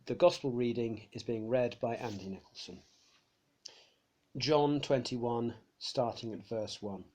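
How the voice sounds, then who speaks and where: Man, scripture reader, measured pace, mid-pitched voice. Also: mellow. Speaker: Bible reader. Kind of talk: church scripture reading.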